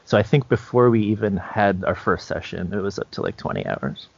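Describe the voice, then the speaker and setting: A man co-hosting a podcast, explaining things informally and a little haltingly. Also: fairly low pitch, lively intonation, creaky, breathy edge. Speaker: podcast co-host. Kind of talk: casual podcast chat. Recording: dry, dull lo-fi recording.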